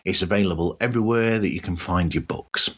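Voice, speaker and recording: A man hosting a podcast, reading a scripted news roundup in a measured, deliberate way. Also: low-pitched. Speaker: podcast host.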